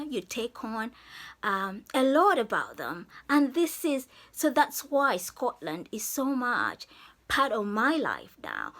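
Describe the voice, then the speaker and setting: Bright, lively woman vlogger from Zambia. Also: high-pitched. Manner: chatty and effusive, laughs often. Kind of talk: casual vlog talk to camera.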